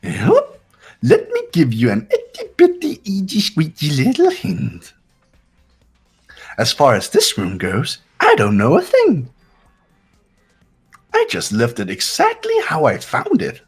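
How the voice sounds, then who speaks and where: High squeaky evil teddy mascot, sing-song and mocking, bit husky, swings all over. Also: male voice, wildly swooping pitch, slow, long pauses, faint music behind, heavily acted. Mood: mock innocent, teasing. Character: gleefully mocking, childish villain bear mascot. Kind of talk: fan playthrough character voice acting.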